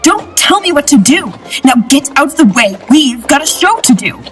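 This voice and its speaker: Woman playing a bombastic high-pitched circus huckster. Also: big pitch swings, normal speed, loud music behind the voice. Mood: bossy, irritated. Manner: bombastic, sneering showmanship. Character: brash, crooked traveling circus showman with a horse. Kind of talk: theatrical western audio drama.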